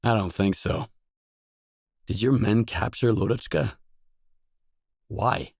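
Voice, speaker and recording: A man's voice: on the low side, takes it slowly, vocal fry, darkish tone, no echo, clean studio sound, exaggerated voice. A man voicing a wary, blunt male loner in a video game, speaking in terse, matter-of-fact lines and questions. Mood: guarded, businesslike.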